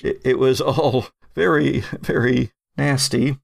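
Slow, creaky-voiced male game master. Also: medium pitch, steady tone, no echo, clean studio sound. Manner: halting, suspenseful scene-setting with pauses. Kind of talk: improvised narration of a horror tabletop game.

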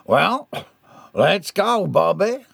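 A man's voice: high-pitched, sing-song, very slow, vocal fry, a bit bright, breathy edge, no echo, pitched above the performer's own voice, heavily acted. A man voicing an easygoing country husband with a plain, folksy manner. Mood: cheerful.

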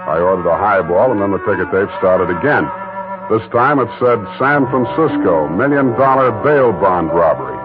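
A man doing a sardonic private eye, lowish voice, tinny old radio sound, music behind. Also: expressive, moderate pace, close to an everyday voice. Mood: narrating. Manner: dry, sardonic. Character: wisecracking hard-boiled male private detective. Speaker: narrator and lead. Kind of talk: 1940s radio acting.

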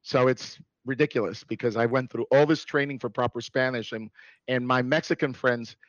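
A man born in New York City, a guest in a casual interview who talks in an animated way, laughs often and restarts his sentences. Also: medium pitch, moderate pace, dry recording, highs cut off.

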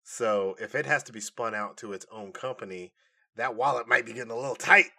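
A man's voice: mid-range voice, brisk, creaky, pushed and bright, breathy edge. A man co-hosting a podcast, talking in a casual, informal and conversational way about entertainment. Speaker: co-host of a nerd news podcast. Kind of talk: casual chat.

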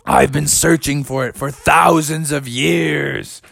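A man voicing a menacing, imperious guardian of a treasure cave in a scary, drawn-out voice for a children's story. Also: on the high side, fairly even intonation, gravelly, a bit bright, husky, no echo, clean studio sound, heavily acted. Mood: outraged. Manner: commanding, theatrical, imperious. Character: booming, menacing mystery voice guarding a skull-shaped cave's treasure.

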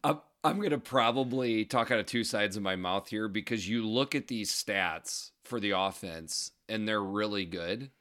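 A man co-hosting a casual sports podcast, his voice raspy and hoarse from screaming at a game, running through scores and stats between chat. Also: fairly low pitch, normal speed, hardly any pauses. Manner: reads scores and stats in a steady run, then chats. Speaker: podcast co-host.

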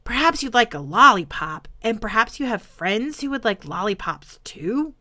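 A woman voicing a sly, greedy crocodile with a slow drawl. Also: on the high side, very animated, bright, forceful tone, pitched above the performer's own voice. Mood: coaxing.

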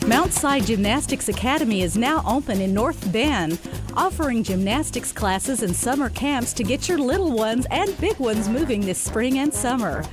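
A woman reading a scripted radio advertisement in a bright promotional way. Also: a little high, animated, moderate pace. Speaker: ad announcer. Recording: music bed under voice.

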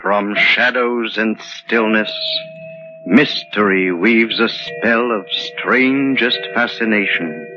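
An actor playing the solemn, mysterious storyteller of a 1940s radio drama, narrating in a portentous, ornate manner. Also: male voice, lowish voice. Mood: solemn, ominous. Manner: portentous, measured, ornate delivery. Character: solemn, mysterious male storyteller of eerie tales. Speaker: narrator and host. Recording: dull vintage radio recording with music.

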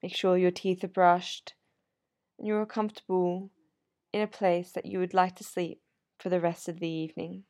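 A woman guiding a sleep meditation in a soothing, unhurried, gently instructive manner. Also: fairly low pitch, flat delivery, no echo, clean studio sound. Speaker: yoga nidra meditation guide. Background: soft sound healing instrumental music.

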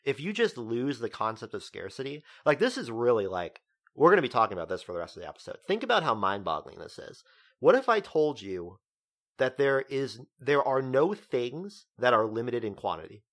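A man's voice: mid-range voice, sing-song, fairly quick, fairly bright tone. A man co-hosting a casual podcast, explaining ideas at length in a lecturing way. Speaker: podcast co-host.

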